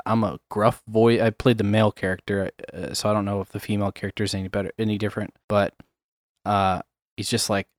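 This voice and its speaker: A man's voice: on the low side, no echo, studio quality. A man chatting casually about games, rambling with many fillers and chuckles. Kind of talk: casual chat.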